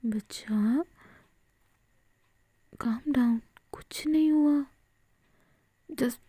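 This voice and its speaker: A woman voicing a tender young woman who gently soothes her crying partner in a whispered story. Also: high-pitched, drawn-out words, breathy edge, no room sound, heavily acted. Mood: soothing. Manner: soft, coaxing, pleading.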